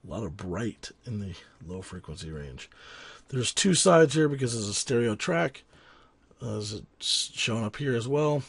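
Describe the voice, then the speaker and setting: A man teaching audio cleanup in a casual tutorial, rambling with frequent ums and restarts, his voice raspy from a cold. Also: on the low side, expressive, moderate pace, dry recording. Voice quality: husky. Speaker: sound engineer teaching a tutorial. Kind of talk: casual screen-recorded software tutorial.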